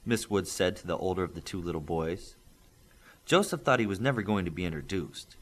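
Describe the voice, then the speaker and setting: Man narrating a story, lively intonation. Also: low voice, fairly quick. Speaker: audiobook narrator.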